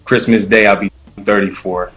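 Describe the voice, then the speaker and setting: A man's voice: on the low side, steady tone, moderate pace, fairly bright tone, poor audio. A man in his thirties who is a dating show contestant and answers hesitantly and evasively, full of fillers. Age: 30s.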